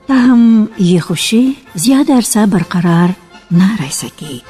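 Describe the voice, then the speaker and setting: A woman narrating a literary story for radio in a measured storytelling manner. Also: medium pitch, warm tone. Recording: reverberant archival radio recording with music.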